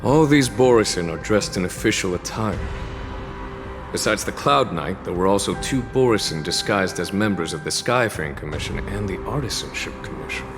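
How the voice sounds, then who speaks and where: A veteran game general with a very low voice. He is grave yet polite and recounts things in measured, formal phrases. His voice rings with long reverb over a clear bed of music. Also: animated. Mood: composed, deliberate. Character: veteran male general, long-lived, calm, grave and courteous. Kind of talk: scripted game dialogue, solemn recounting.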